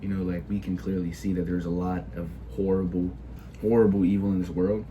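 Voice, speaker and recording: A man's voice: low voice, little pitch movement, brisk, narrow, tinny audio, a little hiss or hum. A teenage boy who is sick, giving a casual opinion monologue in a hesitant way, full of filler words and restarts. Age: teens. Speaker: video creator.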